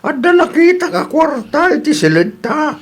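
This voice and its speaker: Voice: high-pitched, moderate pace. A performer voicing an old husband in a radio comedy drama, exclaiming and easily delighted. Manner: animated, exclaiming.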